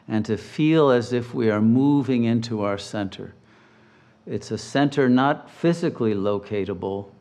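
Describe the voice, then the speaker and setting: A man leading a guided meditation as a teacher, speaking in measured, deliberate, soothing phrases with long pauses. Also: mid-range voice, darkish tone.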